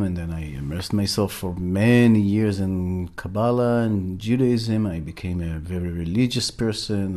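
A man's voice: lowish voice, a little mellow. A middle-aged man from Israel, a workshop facilitator, speaking earnestly in an interview and searching for words as he goes. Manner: earnest, searching for words, many fillers and restarts. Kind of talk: interview conversation.

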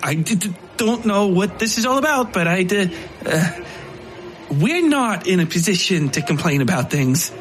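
A man's voice: high voice, sing-song, moderate pace, fairly bright tone, soft background music, voice pushed up. A man voicing a nervous, cautious fellow in a horror story, hesitant and jittery. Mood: nervous, wary. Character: nervous, self-preserving man, cautious and stammering. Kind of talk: horror audio fiction character voice.

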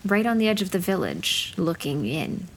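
Storybook wizard: wary and soft-spoken, pitched up, read by a man. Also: big pitch swings, normal speed, vocal fry, slight background noise, heavily acted. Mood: uneasy. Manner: hushed, uneasy. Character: wary male Breton wizard, quiet and watchful.

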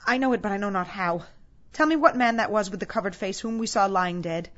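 A woman's voice: medium pitch, brisk, a bit bright, lo-fi sound, close to an everyday voice. A woman voicing a frightened, repentant old man in a storybook, pleading in fervent exclamations. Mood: earnest pleading.

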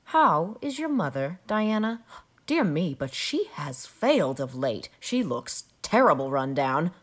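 Storybook spinster, fifties, played by a woman: sour pessimist, grim and complaining, lowish pitch with lively swings. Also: unhurried, heavily acted. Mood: sour gloom. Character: grim, sour fifty-seven-year-old spinster, a born pessimist. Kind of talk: audiobook character dialogue.

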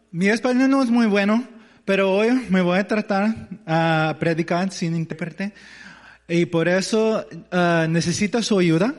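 A man's voice: fairly high pitch, big-room sound. A man preaching as pastor, hesitant and searching for words in a language that is not his first, with as his tongue. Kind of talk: sermon.

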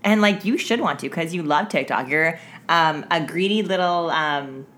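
A woman with a clearly low-pitched voice co-hosts a podcast. She talks in a loose, conversational style, full of playful teasing and banter. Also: normal speed, a bit bright. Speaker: podcast co-host. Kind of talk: casual chat.

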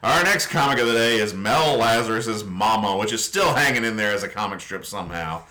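A man's voice: lowish voice, lively intonation, fairly quick, bright, forceful tone. A man hosting a comedy podcast, describing comic strips in casual banter with a mock-serious, teasing manner.

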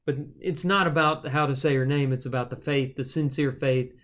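A man of middle pitch leads a conversational Bible study on a podcast. He explains each point patiently and informally. His talk is loose and full of small fillers. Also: normal speed. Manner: relaxed and explanatory, with frequent fillers.

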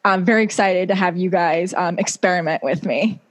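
A woman's voice: on the low side, a little fast. A woman hosting a podcast interview in a conversational style over a video call. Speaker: podcast host.

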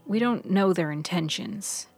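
A woman's voice: medium pitch, unhurried, gravelly, darkish tone, slightly rough, no room sound, soft background music. A woman voicing a wary, uneasy female friend, hesitant and guarded, in a story podcast. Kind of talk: serial fiction audio storytelling.

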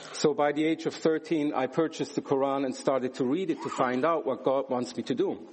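A man's voice: medium pitch, darkish tone. A man from Switzerland giving a church sermon, earnest and emphatic, with rhetorical questions and a personal testimony. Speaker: guest preacher.

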